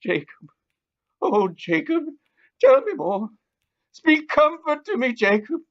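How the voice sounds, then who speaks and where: Audio drama role: male actor as agitated old miser, high-pitched, stammering, pleading and stubborn, on a dull recording. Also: very animated, slow, heavily acted. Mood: anguished pleading. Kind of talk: audio play acting of a classic ghost story.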